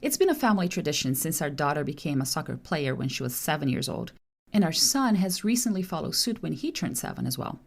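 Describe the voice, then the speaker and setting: Lady in her 40s reading her podcast script, kinda low voice that moves around a lot. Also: sing-song, creaky. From Brazil. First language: Portuguese. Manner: reads a prepared script in a measured, explanatory way. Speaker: podcast host, translator.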